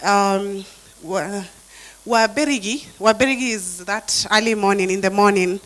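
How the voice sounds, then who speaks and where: A woman's voice: medium pitch, a little slow, fairly bright tone, low-quality recording. A woman workshop participant talking about her translation on a video call, hesitant, with many fillers. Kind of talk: casual discussion on a video call.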